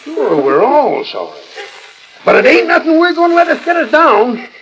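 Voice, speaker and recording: A crackly, gravelly cowhand talks on an old radio disc. His American Western drawl is folksy and kindly, with pitch that swings widely. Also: huge pitch swings, normal speed, fairly bright tone, heavily acted. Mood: consoling. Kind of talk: 1940s radio acting.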